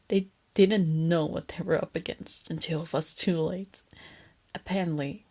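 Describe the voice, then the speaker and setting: A woman voicing a female lamia captor in an intimate ASMR roleplay, soft and often whispery, teasing and coaxing, with condescending sweetness and a quiet menace. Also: lowish voice.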